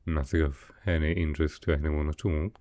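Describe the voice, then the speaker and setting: A man playing a sickly, proud, melancholy aristocrat in a roleplay audio drama, speaking softly in a formal, measured, dryly reserved way. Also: steady tone, no room sound. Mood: dry, resigned. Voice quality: low, soft, with a cough.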